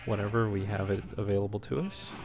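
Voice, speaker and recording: A man's voice: on the low side, fairly even intonation, fast. A man giving casual, unscripted gameplay commentary as a let's play host, talking in an easygoing, hesitant ramble. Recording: clearly noisy home recording.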